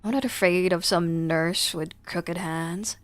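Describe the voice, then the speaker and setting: A woman's voice: on the low side, fairly even intonation, slow pace, no room sound. A woman voicing a boastful, defensive young male vampire from a horror game, with sarcastic delivery. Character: cocky, prickly young male vampire, boastful tough guy.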